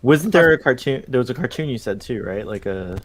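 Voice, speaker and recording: Man, medium pitch, casual host, inquisitive, light-hearted conversational delivery. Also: lively intonation, fairly quick. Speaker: show host. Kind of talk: casual chat.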